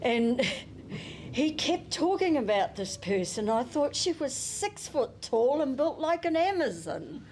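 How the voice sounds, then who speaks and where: A New Zealand woman speaking at a memorial service and reading her tribute at an unhurried pace. Her voice sits a little high, and she chuckles softly now and then. A faint hiss runs under the microphone. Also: very animated. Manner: gentle and unhurried, with soft chuckles. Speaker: family member giving a eulogy. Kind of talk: reading a prepared tribute and poem. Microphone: handheld microphone.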